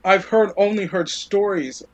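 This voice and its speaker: A man roleplaying at a tabletop game, telling his character's story in a halting, conversational way. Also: sits high.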